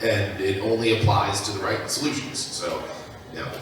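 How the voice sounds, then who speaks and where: A man's voice: lowish voice, moderate pace. An American man on a conference panel, speaking hesitantly with many fillers. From United States. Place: large conference hall. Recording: echoey hall, distant mic, crowd behind.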